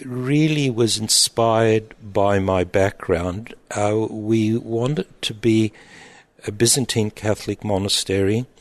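A middle-aged man, an abbot speaking in an interview in a thoughtful, halting way with frequent restarts. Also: lowish voice, dry recording. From Egypt, raised in Australia. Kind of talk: reflective interview answers.

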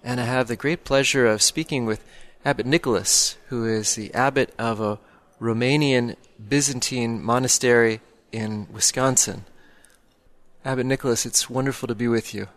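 Man, priest, interviewer, measured, courteous. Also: mid-range voice, fairly even intonation, fairly bright tone, no room sound. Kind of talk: interview hosting.

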